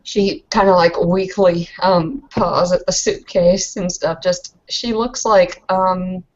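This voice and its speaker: Low-voiced woman narrating a roleplaying game. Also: fairly even intonation, slow, dull audio. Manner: hesitant, filler-heavy, casual slangy phrasing. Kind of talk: casual improvised game narration.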